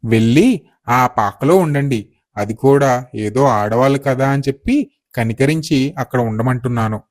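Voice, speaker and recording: A man acts out a haughty rich householder from a moral storybook tale. He speaks with scorn and grudging impatience, scolding at a middling male pitch. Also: unhurried, natural voice. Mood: scornful. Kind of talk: acted moral story dialogue.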